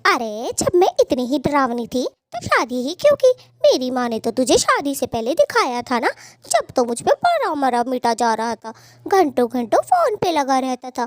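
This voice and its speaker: Scheming, sharp-tongued cartoon bird wife, played by a woman: very high, animated, scolding. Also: moderate pace, no room sound. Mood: angry, scolding. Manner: scolding, threatening. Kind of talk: Hindi cartoon voice acting.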